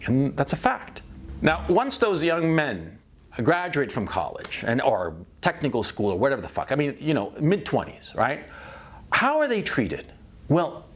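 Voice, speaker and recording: A middle-aged man from Chile giving a blunt, profane opinion monologue, often repeating words as he starts a thought. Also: medium pitch. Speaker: commentator.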